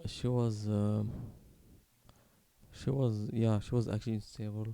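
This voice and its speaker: A man in his twenties from Morocco tells a personal story unscripted, in a halting, candid, casually sweary way. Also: on the low side, flat delivery, creaky, mellow. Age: 20s. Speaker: video creator telling his life story.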